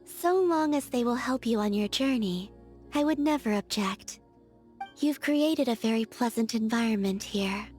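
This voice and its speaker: Woman voicing a game character, dreamy young woman, slightly high, breathy, slow and languid, faint music behind. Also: lively intonation, a little mellow, natural voice. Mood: gentle. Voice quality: breathy, airy and whispery. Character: dreamy, soft-spoken young woman, aloof and gentle. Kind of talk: video game character voice lines.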